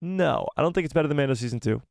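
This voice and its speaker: A man's voice: fairly high pitch, lively intonation, very fast, creaky, a little mellow, no echo, clean studio sound. A man hosting a live fan stream, giving firm opinions, reading viewer replies aloud, and losing his voice. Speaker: host of a live fan show.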